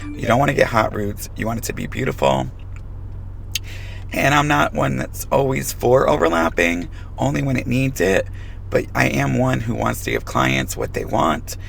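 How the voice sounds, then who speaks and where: A man who is losing his voice, hoarse, giving frank advice to fellow stylists in a hair colouring tutorial. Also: mid-range voice, very animated, normal speed, a bit bright, faint music behind. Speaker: hair colorist.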